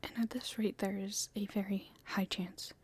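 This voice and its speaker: A woman playing an earnest, hopeful young princess in a soft, halting, breathy whisper for an ASMR roleplay. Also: medium pitch, little pitch movement, faint music behind, close to an everyday voice. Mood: thoughtful, hopeful. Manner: halting, soft-spoken, thinking aloud.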